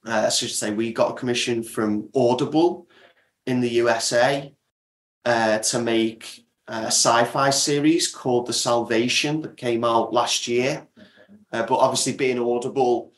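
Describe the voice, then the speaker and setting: A man's voice: medium pitch, fairly even intonation, unhurried. A man speaking as an interview guest, explaining his work as a producer in casual talk. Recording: roomy, echoey sound.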